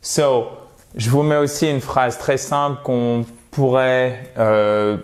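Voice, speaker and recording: Male English teacher, native French, slow and patient, repeats words to model pronunciation. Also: medium pitch, steady tone. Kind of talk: video lesson.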